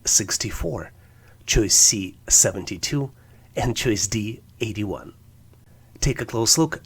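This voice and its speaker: A man's voice: fairly low pitch, fairly bright tone, breathy edge. A man narrating an explanatory tutorial as an instructor, walking through things methodically, step by step. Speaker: test-prep instructor.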